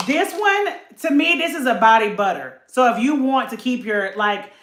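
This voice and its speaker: A woman's voice: on the high side, expressive, normal speed, a bit bright, reverberant. A woman, chatting casually to camera about a beauty haul and addressing viewers as y'all. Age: late 30s.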